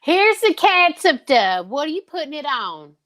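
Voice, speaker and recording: High, cheeky sidekick, voiced by a woman. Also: big pitch swings, normal speed, fairly bright tone. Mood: whiny, put-upon. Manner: bantering, indignant. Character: cheeky, bickering painter sidekick of a detective. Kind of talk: old-time radio detective parody.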